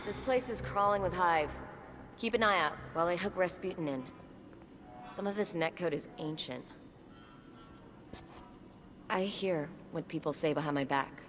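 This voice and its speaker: Woman acting a low-voiced earnest warrior scientist. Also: normal speed, long pauses, music in the background, natural voice. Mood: earnest, reflective. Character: earnest, loyal female warrior-scientist, defensive of her AI ally. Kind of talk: video game dialogue acting.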